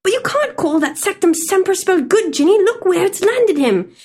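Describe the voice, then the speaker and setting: A sharp, reproachful know-it-all schoolgirl, put on by a woman reading aloud. The voice climbs very high and darts around, set back from the mic. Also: lively intonation, moderate pace. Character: sharp, disapproving teenage girl, know-it-all.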